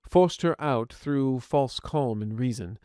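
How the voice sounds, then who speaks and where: Man as a calm, remorseful inner voice. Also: medium pitch, smooth, dry recording, clean studio sound, natural voice. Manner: measured, explanatory. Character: calm, reasoning, remorseful inner voice of a man's psyche. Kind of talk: scripted audio fiction dialogue.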